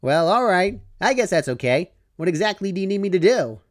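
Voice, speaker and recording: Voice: medium pitch, sing-song, fairly quick, voice pushed up, close to an everyday voice. A narrator voicing a brash young female pegasus who talks in a casual, slangy, offhand way. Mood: reluctant.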